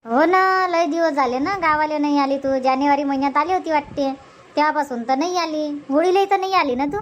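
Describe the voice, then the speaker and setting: Middle-aged farm mother acted by a woman, cartoon comedy: high-pitched, nagging yet caring, homely rural Vidarbha Marathi accent. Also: brisk, highs cut off, a little hiss or hum, natural voice. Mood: caring, coaxing.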